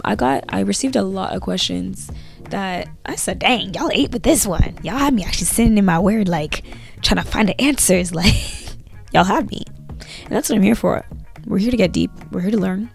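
A young woman with a cold chatting casually to camera about her faith, talking lively with her hands into a handheld mic in a small bedroom at night. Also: medium pitch, gravelly. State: has a cold.

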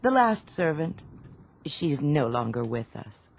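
An actress in a radio drama playing a genteel, demanding wife with a languid manner. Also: female voice, deep voice, sing-song, slow pace, vocal fry, slight background noise, exaggerated voice. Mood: languid, content.

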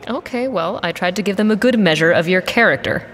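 A woman's voice: medium pitch, expressive, brisk, fairly bright tone, in a large hall, faint music behind, natural voice. A woman playing a guarded, blunt, loyal mercenary pilot in an audio drama, with a dry manner. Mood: wry, questioning.

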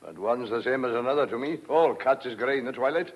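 A man's voice: mid-range voice, fast, close to an everyday voice. Dull muffled radio play recording, man doing a nosy rustic farmhand, droll and folksy. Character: nosy, folksy old farmhand, cheerfully philosophical. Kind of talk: radio drama acting.

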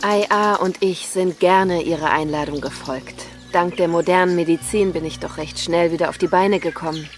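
A woman's voice: fairly low pitch, fairly even intonation, moderate pace, hardly any pauses, lightly acted. A woman speaking scripted lines in a radio drama. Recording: music behind the voice.

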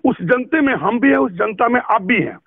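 A middle-aged man with a rather high voice presses his point earnestly on a phone call. He is insistent and admonishing. The line sounds thin and tinny. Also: animated, normal speed, gravelly. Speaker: interviewer and activist. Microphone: telephone.

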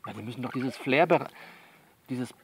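A man playing a frank, worldly coach who talks a female client through things by phone in a blunt, coaxing, colloquial way with repeats and pauses. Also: medium pitch, husky. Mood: blunt, dismissive. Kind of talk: improvised-sounding one-sided phone monologue in an audio novel.

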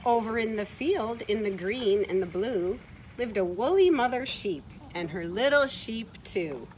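A middle-aged woman reading a picture book aloud to children in an expressive way, recorded outdoors. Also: a little high, unhurried, low-quality recording. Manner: expressive, acting out short quoted words. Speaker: children's story time reader. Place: outdoors on a farm, beside horses. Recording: outdoor ambient noise from camera mic.

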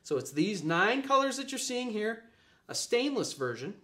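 A man's voice: high voice, very animated, normal speed, sharp tone. Upbeat man, salesy demo. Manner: upbeat salesy, filler-heavy, repeats points. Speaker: product presenter. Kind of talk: live product demo. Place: office room with a display table.

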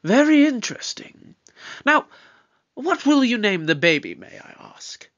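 Probing eccentric investigator, played by an actor, audio drama, animated pitch, deliberate formal questioning, slightly muffled recording. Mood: probing, curious.

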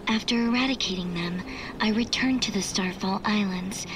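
A woman voicing a cool, analytical artificial intelligence girl in a video game, speaking in a measured, formal, detached way. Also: mid-range voice, noisy background, lightly acted. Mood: cool, informative.

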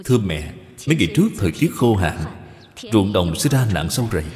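A man voicing a gentle, dutiful young wife from old China in a cartoon, speaking with deference and patience. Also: lowish voice, very animated, quick pace. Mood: apologetic. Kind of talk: cartoon dubbing. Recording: long reverb, music behind.

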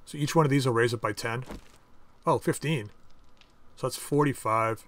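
A man hosting a casual game playthrough, chatting and thinking aloud as he crafts items, with his voice going a bit hoarse. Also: medium pitch, moderate pace, fairly bright tone, slight background noise. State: losing his voice. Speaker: gaming channel host. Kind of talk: casual let's-play commentary.

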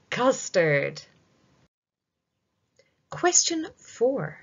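Middle-aged female quiz reader for children: very slow, sing-song delivery, lively pitch, slightly muffled webcam sound. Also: breathy edge. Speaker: quiz presenter reading aloud. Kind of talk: read-aloud multiple-choice quiz for children. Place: small room indoors.

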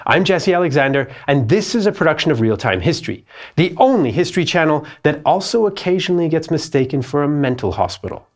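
A man's voice: fairly high pitch, animated, fast. A man in his thirties, host of a history channel, delivering scripted documentary narration in a studio. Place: dark studio set.

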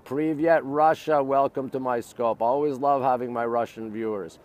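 A man's voice: medium pitch, fairly even intonation, normal speed, darkish tone. A middle-aged man from Montreal with a North American accent, chatting casually as a live-stream host in short, repetitive, exclamatory bursts. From Montreal, Canada. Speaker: live-stream host showing city sights. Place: outdoors on an urban riverside.

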